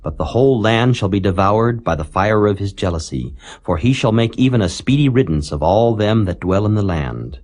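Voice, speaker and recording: A man reading scripture aloud in a solemn, measured way, rising dramatically on the warnings. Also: fairly low pitch, lively intonation, darkish tone. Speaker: Bible reader.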